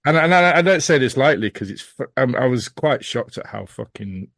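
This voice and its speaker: A man's voice: medium pitch, expressive, moderate pace, gravelly, a bit bright, dry recording, clean studio sound. A British man as a podcast guest, chatting casually in a blunt, emphatic, sweary way. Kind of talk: casual chat.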